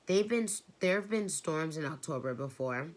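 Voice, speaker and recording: A steady, capable mother who answers worries lightly, as a woman reads her lines. Her voice stays low and even, close to the reader's own. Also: moderate pace. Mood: calm.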